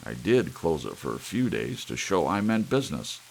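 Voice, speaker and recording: Man playing an uneasy, stubborn father, deep voice. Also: sing-song, normal speed, vocal fry, full character voice. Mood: uneasy, defensive. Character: uneasy, stubborn father at odds with his household. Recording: audible hiss with rain-like noise.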